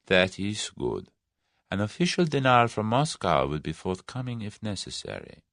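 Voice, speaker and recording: A man voicing a sinister Russian ringleader who speaks softly, slowly and sibilantly. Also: on the low side, very animated, creaky, no room sound, studio quality, full character voice. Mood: soft menace. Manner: soft, sibilant, slow.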